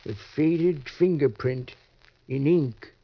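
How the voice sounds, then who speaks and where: An actor playing a timid, fussy little gentleman who hesitates and stammers, in an old-time radio drama. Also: a little high, slow. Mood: anxious dithering. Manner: hesitant, fretful, mumbling, stammering. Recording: dull, dry vintage radio recording.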